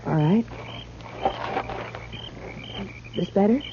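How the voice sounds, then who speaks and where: A woman's voice: medium pitch, lively intonation, a little fast, close to an everyday voice. An actress playing a guarded, nervous frontier woman with a hesitant, defensive way of speaking, in 1950s radio western style. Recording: muffled old radio recording, faint noise.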